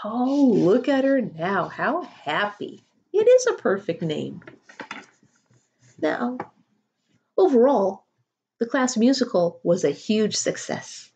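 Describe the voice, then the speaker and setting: A middle-aged woman reading a children's picture book aloud expressively, with deliberate emphasis. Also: fairly high pitch, very animated, vocal fry, darkish tone, lo-fi sound, slight background noise. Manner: deliberate, drawn-out emphasis, pausing to ask listeners questions. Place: small home room, indoors.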